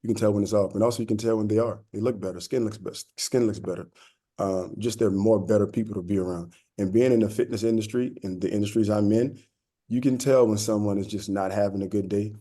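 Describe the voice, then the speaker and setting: A man from the fitness industry speaking on a virtual panel over a video call, relaxed and plain-spoken. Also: on the low side, quick pace, husky. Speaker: fitness professional.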